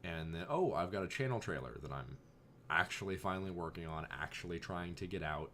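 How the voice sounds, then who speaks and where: Very deep-voiced young man, slightly hoarse. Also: animated, a little fast, fairly bright tone, a little hiss or hum. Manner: rambling, exasperated asides. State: voice going hoarse. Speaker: vlogger. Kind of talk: casual chat.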